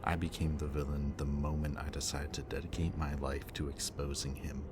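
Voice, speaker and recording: A man playing a bitter, remorseful villain in an audio roleplay, confessing in a low, intimate voice that sometimes drops to a whisper. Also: normal speed. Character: remorseful bitter male villain, young adult. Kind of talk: audio roleplay addressed to the listener. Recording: steady ambient background noise.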